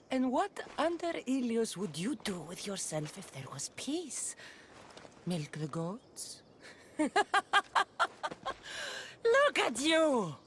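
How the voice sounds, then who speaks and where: Slow, gravelly warrior woman. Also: medium pitch, slight background noise, heavily acted. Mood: mocking. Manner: menacing, deliberate. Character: bitter, battle-hardened young Greek woman warrior raised by cult. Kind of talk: dramatic video game acting.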